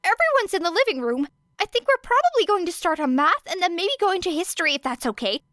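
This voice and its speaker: A nervous teenage boy, played by a woman narrator who trips over his own words. He sits high and swings widely in pitch, eager and apologetic. The sound is dry and close. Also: very animated, quick pace, a bit bright, no room sound, exaggerated voice. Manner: eager, apologetic rambling, tripping over himself. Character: nervous rambling teenage boy hero student. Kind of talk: audiobook character voice.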